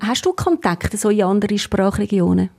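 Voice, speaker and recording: A woman's voice: mid-range voice, expressive, moderate pace, vocal fry, a little mellow. A woman with a Swiss German accent interviewing a singer in a basement rehearsal room, friendly, with follow-up questions. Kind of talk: radio interview.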